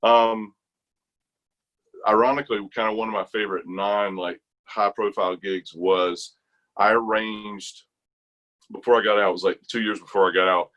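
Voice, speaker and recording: Middle aged american guy w a low voice, casual storyteller, animated n slangy. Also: normal speed. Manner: animated, colloquial, peppers talk with "man" and "like". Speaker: interview guest, retired army chorus bass singer.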